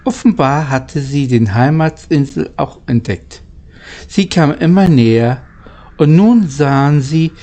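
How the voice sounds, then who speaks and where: A man tells a children's story at a slow pace in a soft-edged, mellow voice. He reads haltingly and now and then stumbles over a word. The delivery is informal and homemade, like someone chatting between passages. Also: medium pitch. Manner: halting, stumbling over words while reading. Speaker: amateur storyteller reading a children's novel aloud. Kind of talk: informal read-aloud storytelling with chatty asides.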